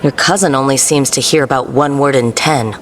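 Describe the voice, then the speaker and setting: An actor playing a composed, warm and playful adventurer hero in a video game cutscene. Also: mid-range voice, a little fast, slight background noise, close to an everyday voice. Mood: wry, composed.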